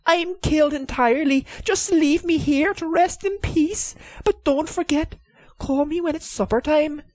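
A man's voice: very high voice, a little slow, no echo, voice pushed up. A man reading aloud voices a cheeky young lad who jokes and clowns around. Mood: mock-dramatic. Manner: cheeky, mock-dramatic. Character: young joking lad, lanky and playful.

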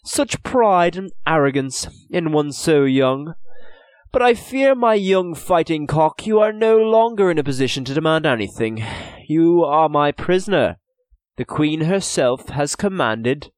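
A man's voice: a little high, animated, no room sound, pitched above the performer's own voice. A man playing a smug pompous merchant. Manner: smirking, leering, drawn-out. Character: smug pompous English merchant, middle-aged.

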